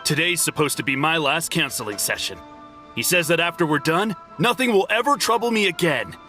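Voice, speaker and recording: A man's voice: on the high side, expressive, brisk, pushed and bright, soft background music. An actor playing a haughty, easily offended male client in a game who praises his counselor. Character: devoted male client, snobbish and touchy. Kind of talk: game voice acting.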